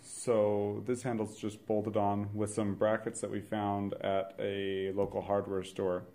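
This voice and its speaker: Young guy walking through a diy build step by step, mellow low voice. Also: measured pace. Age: 20s. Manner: steady, instructional. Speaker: engineering student presenter. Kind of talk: project explanation and demonstration.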